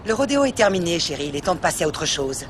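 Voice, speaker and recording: A woman's voice: racing, a little hiss or hum. An actress playing a haughty, condescending middle-aged mother. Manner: condescending, lively pitch.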